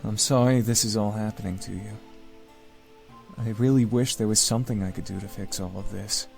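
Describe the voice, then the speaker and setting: Gentle young demon prince, very low voice, burdened by guilt, visual novel game, music behind. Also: male voice, steady tone, moderate pace, creaky. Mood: soft regret.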